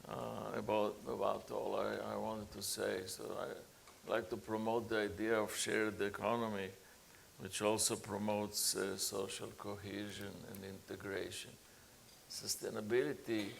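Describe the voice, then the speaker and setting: Gravelly halting man on a panel. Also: fairly low pitch, level tone, a little hiss or hum. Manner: halting, many uh fillers and restarts. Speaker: panelist, engineering professor. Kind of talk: conference panel remarks.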